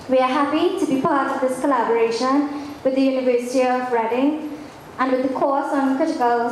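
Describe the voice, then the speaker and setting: A woman with a high voice delivers a welcome address at a public event. The room echoes heavily around her, and a faint layer of noise sits behind the speech. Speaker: institute representative giving a welcome speech.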